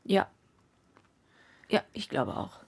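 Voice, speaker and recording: An actress playing an insistent, earnest wife in a radio play, husky and creaky, coaxing and directing with emphasis. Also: female voice, takes it slowly, natural voice. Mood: moved, softer.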